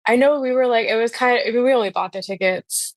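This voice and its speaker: A woman's voice: fairly high pitch, fast, no room sound. Enthusiastic woman guest, dry close sound. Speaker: podcast guest, fan. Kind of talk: casual chat.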